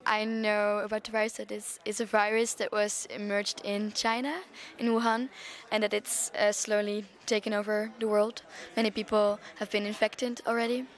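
A woman's voice: mid-range voice, little pitch movement, normal speed. A young woman from the Netherlands, a student, answering matter-of-factly into a handheld mic indoors. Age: 20s. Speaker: student interviewee. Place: indoor university room. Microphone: handheld interviewer mic.

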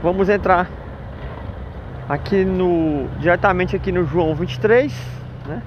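A man's voice: high voice, measured pace. A man from northeastern Brazil, chatting upbeat and jokingly as he vlogs from a motorcycle on city streets. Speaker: motovlogger. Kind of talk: casual vlog narration while riding. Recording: dull lo-fi with faint street noise.

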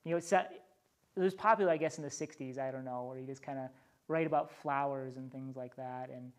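A man's voice: mid-range voice, steady tone, brisk. An American man teaching a small church study group, moving between reading aloud and informal explanation in a conversational way.

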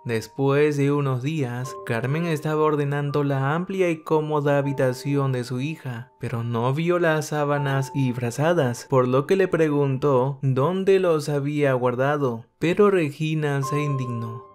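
A man reading a story aloud in an even, steady narrating voice. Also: a little high, unhurried, few pauses. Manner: even, steady reading that speaks every character's lines in the same voice. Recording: faint guitar music behind. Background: soft guitar music.

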